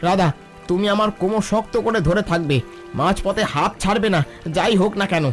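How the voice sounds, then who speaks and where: A man voicing a steady, protective village father in a cartoon, giving practical, instructive guidance. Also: sits high, a little fast, close to an everyday voice. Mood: urgent. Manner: instructive, reassuring. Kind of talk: Bengali cartoon dialogue. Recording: music behind the voice.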